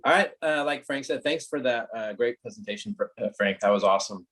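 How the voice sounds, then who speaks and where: A man from California, a fire captain giving an informal, halting, conversational explanation as guest speaker on a video call. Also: mid-range voice, fairly bright tone. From California, United States.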